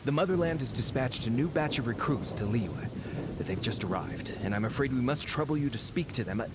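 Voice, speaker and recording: An actor playing a deferential, earnest military subordinate in an anime video game, formal and apologetic. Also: male voice, medium pitch, animated, brisk, very noisy, natural voice. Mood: respectful.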